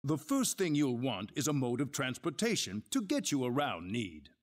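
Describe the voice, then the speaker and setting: An actor playing a grave, courteous leader of an advanced civilization in a video game, speaking in formal, measured exposition. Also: male voice, mid-range voice, very animated. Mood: measured, instructive. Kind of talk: dramatic video game dialogue.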